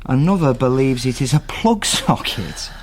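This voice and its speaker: An actor playing an eager, inquisitive investigator in a naturalistic radio drama. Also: male voice, medium pitch, sing-song, moderate pace, slight background noise, close to an everyday voice. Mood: intrigued. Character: eager, inquisitive male investigator tracing an artist's family.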